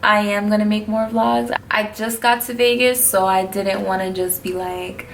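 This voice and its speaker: Gravelly young New woman vlogger. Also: medium pitch, fairly even intonation, normal speed, a little hiss or hum. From New York City. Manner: blunt, slangy, laid-back. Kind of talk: casual Q&A vlog.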